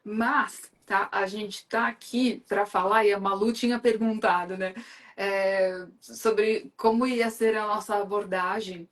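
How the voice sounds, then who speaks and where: A woman's voice: mid-range voice, steady tone, slow pace. A woman from Brazil hosting a live stream, talking in a chatty, enthusiastic way with lots of fillers. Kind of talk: casual live chat.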